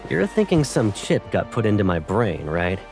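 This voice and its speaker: An actor playing a possessive, protective young military colonel in a video game, speaking with controlled, intimate intensity. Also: male voice, on the low side, huge pitch swings, moderate pace, over music. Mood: wry. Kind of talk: dramatic video game cutscene acting.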